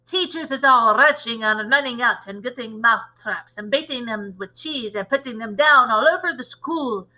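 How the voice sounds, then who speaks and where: A woman's voice: fairly high pitch, normal speed, few pauses, poor audio. A woman acting out a disgusting old witch leader from a children's storybook, gleefully cruel, with theatrical, chanting delivery and broken grammar. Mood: gloating, shouting. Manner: ungrammatical, chanting, theatrical shouting.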